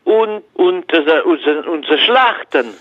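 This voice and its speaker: Man, high animated voice, stammering, broken grammar, dull phone sound. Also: slow, no room sound. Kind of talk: phone conversation.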